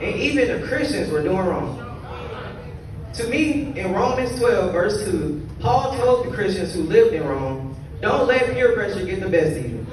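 A teenage young man giving an earnest youth sermon in a large church sanctuary, reading scripture and preaching in short phrases. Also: high-pitched. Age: teens. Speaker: youth speaker. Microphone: pulpit mic filmed by a phone from the pews. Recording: roomy far-off phone recording in a large hall. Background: congregation ambience.

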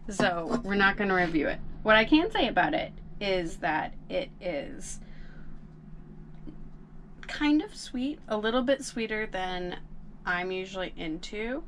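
A young woman sitting in a small boat cabin, casually reviewing craft beer with a relaxed, laughing manner. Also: medium pitch, slight background noise. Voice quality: relaxed, laughs often, drawn-out fillers.

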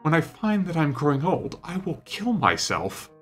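A man voicing a petulant, melodramatic young gentleman in an audiobook, vain and wounded, speaking in an impassioned, plaintive way. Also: a little high, very animated, moderate pace, husky, soft background music, pitched above the performer's own voice, exaggerated voice. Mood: bitter, hurt.

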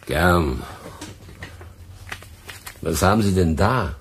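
A man's voice: low-pitched, lively intonation, extremely slow, slight background noise, heavily acted. A man acting a laconic, obliging studio sound technician in a German radio play, understated in manner. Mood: dry, obliging.

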